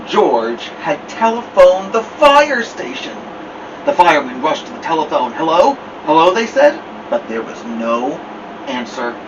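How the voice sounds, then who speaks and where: A man reading a children's picture book aloud as a storytime host, theatrically, with drawn-out words and dramatic pauses. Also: on the high side. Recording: distant mic, dull sound, noisy background hum.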